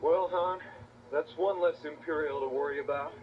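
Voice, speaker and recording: An actor playing an earnest, eager young rebel hero in a children's read-along story. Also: male voice, fairly high pitch, steady tone, normal speed, lightly acted. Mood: upbeat. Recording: muffled distorted cassette, loud music behind.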